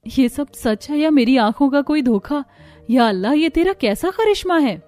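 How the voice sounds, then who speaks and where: A woman playing earnest, expressive family women in a cartoon story. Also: high voice, moderate pace, faint music behind. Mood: amazed. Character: women of cartoon households, earnest mothers, in-laws and neighbours. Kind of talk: Hindi cartoon story acting.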